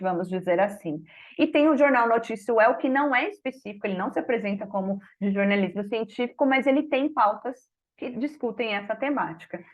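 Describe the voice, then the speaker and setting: A woman's voice: mid-range voice, muffled recording. A woman with a Brazilian Portuguese accent presenting research at a conference, explaining it in an informal, spoken way with frequent tag questions. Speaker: academic researcher presenting a study.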